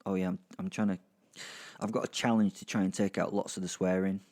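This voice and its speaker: Chatty, low-voiced man rambling to listeners. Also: flat delivery, a little fast, darkish tone. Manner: chatty, self-interrupting, dryly jokey, sweary. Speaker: podcast host and narrator. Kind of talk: casual rambling recap to listeners. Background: music under the voice.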